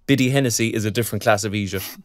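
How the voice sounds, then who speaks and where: A man's voice: fairly low pitch, rapid-fire, no room sound, studio quality, close to an everyday voice. An actor playing a kindly, chatty young graduate, a man with an Irish accent and a colloquial, concerned manner in a naturalistic audio drama. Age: young adult.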